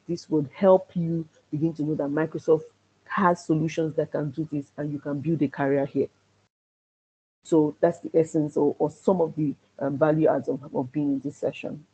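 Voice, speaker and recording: A man who says he has a loud voice teaches a security class on a video call, hesitating often with ums and restarts. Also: a little high, steady tone, normal speed, breathy edge. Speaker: security trainer. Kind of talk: online class presentation. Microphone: computer mic on a video call. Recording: dull lo-fi video call audio.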